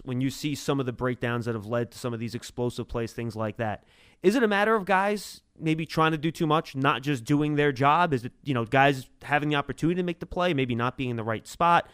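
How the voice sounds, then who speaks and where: A man's voice: medium pitch, animated, fast, fairly bright tone, clean tone, no echo, studio quality. A man hosting a sports podcast, introducing segments and putting questions to his guest. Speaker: podcast host and interviewer.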